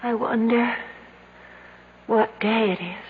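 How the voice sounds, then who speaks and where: An actress in a radio drama playing a fretful, desperate young woman trapped in a dark cave. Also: a little high, steady tone, slow pace. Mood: weary. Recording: muffled, noisy old radio recording.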